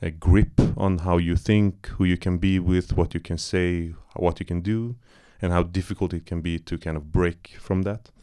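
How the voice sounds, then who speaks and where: A man's voice: low voice, fairly even intonation, normal speed, few pauses, warm tone, clean tone. A man from Iran, a film director, talking loosely in a post-screening Q&A, searching for words with many fillers and restarts. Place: cinema auditorium.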